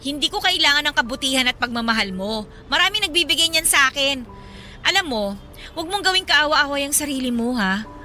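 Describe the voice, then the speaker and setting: A woman's voice: high-pitched, animated, fairly quick, sharp tone, soft background music, exaggerated voice. A young woman with a Filipino accent playing an embittered, proud, blunt older sister in a radio drama. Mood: scornful. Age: young adult. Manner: scornful, blunt. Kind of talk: dramatized radio love story.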